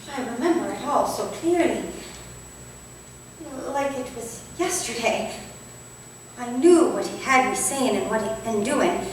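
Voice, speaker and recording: A woman's voice: a little high, lively intonation, unhurried, long pauses. A woman playing a candid, modest Victorian vicar's wife in a staged play reading, wistful, hesitant and confiding. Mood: confessional, troubled. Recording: echoey hall from far off, faint noise.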